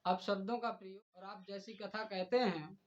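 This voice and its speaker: A man's voice: high voice, fairly even intonation, moderate pace, dull audio. A man delivering an indignant, oratorical admonishment, scolding someone by direct address. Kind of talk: admonishing monologue addressed to a rival and the community.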